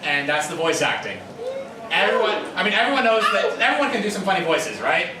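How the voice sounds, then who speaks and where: A man's voice: high voice, big pitch swings, fairly quick, echoey room, a loud crowd. A man giving an informal panel talk to an audience, stammering and rambling loosely, recorded from a distance in a noisy room. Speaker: convention panelist, fan dubber.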